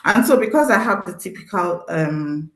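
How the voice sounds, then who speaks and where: A woman's voice: lowish voice, lively intonation, moderate pace, creaky, roomy sound. A woman with a Nigerian accent giving an earnest, encouraging motivational talk to teenagers as a social worker at an event. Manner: earnest, encouraging, repeats phrases for emphasis. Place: event venue.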